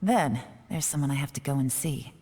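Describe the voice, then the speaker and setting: A cool, cutting spy. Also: female voice, a bass voice, brisk, heavy background noise. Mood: brisk, reassuring. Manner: calm, cutting, composed accusation. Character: cool, sharp-witted female spy interrogator. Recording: game audio with reverb and music.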